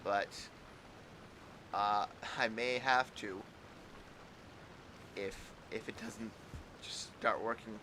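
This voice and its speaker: A man chatting casually as he livestreams a game, halting and repeating his words, with mild exclamations. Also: on the low side, fairly even intonation, background noise. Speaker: game streamer. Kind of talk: casual livestream chat and commentary.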